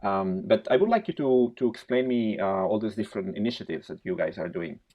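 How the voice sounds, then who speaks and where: A man hosting a podcast, reading a measured introduction and then interviewing his guests. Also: fairly low pitch, lively intonation. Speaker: podcast host. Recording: dull lo-fi sound.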